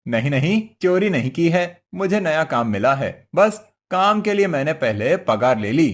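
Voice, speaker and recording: Man acting a crafty village husband, high-pitched. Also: very animated, measured pace. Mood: reassuring. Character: crafty cheerful village husband, wheedling trickster. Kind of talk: Hindi cartoon moral story voice acting.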